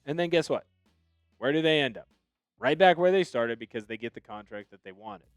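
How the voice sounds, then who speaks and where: A man hosting a solo sports news video, giving opinionated commentary that now and then bursts into animated excitement. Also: medium pitch, brisk, no room sound, clean studio sound, soft background music.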